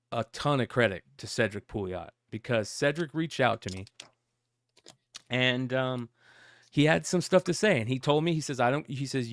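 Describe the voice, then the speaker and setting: A man's voice: medium pitch, creaky, no room sound, studio quality. A man hosting a casual comic news talk show, rambling and reading out a message in his plain voice. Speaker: host.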